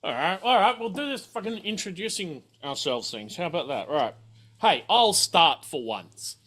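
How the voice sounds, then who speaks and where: A man's voice: high-pitched, sing-song, normal speed, bright, forceful tone. A man, chatting casually as a game master in a rambling, sweary way. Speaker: game master of a streamed tabletop RPG. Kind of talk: casual chat.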